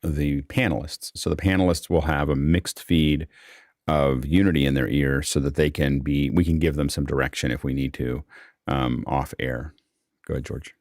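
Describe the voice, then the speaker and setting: A man's voice: a bass voice, fairly even intonation, normal speed, a little mellow, dry recording, studio quality. A man hosting a casual unscripted panel discussion, rambling and thinking aloud as he repeats words. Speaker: host. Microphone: close microphone on an online video call.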